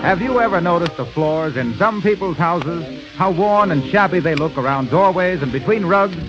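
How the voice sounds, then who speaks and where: High-voiced 1930s radio announcer over loud music. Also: male voice, lively intonation, normal speed. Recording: muffled old radio broadcast, loud music.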